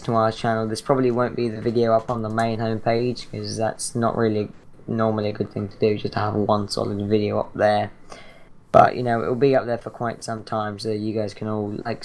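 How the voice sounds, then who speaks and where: A teenage British boy, hoarse and croaky from a sore throat, introducing his gaming channel in a rambling, chatty way full of fillers and restarts. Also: on the low side, a little mellow, a little hiss or hum. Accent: British English. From Britain. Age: teens. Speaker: channel host.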